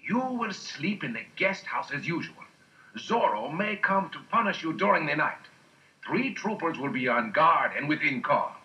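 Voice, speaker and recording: An actor playing an ambitious, scheming military captain in a dramatised storybook. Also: male voice, fairly high pitch, very animated, moderate pace, pushed and bright, highs cut off, exaggerated voice. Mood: confident, scheming. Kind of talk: dramatised storybook recording acting.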